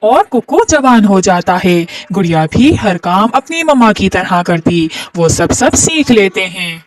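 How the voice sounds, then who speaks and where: A woman's voice: medium pitch, very animated, unhurried, recorded from a distance, rough recording. A woman narrating a scripted children's story.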